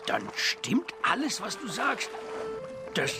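Boisterous bearded pirate dad, dramatic, slow-paced delivery, high and very animated, children's audio drama. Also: male voice, music in the background, heavily acted. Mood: astonished. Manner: exuberant, dramatic. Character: boisterous bearded pirate father with lost memory. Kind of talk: children's radio play acting.

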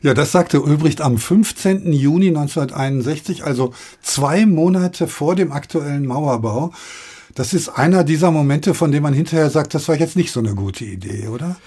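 A man's voice: a little high, animated, normal speed, mellow, husky. A man hosting a radio history podcast, moderating and asking questions conversationally. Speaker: podcast host.

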